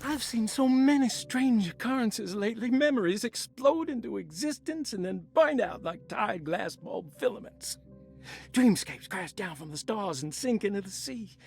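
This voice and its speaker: A woman's voice: on the high side, animated, moderate pace, vocal fry, a little mellow. An actress playing a wistful, searching woman, giving a reflective, literary monologue in a video game. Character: wistful, searching woman musing on dreams and memories.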